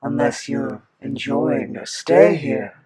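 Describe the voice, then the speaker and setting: A man's voice: mid-range voice, slow, over a noisy crowd. A man in an audio drama playing a sinister, smug mirror entity, taunting and mock-polite.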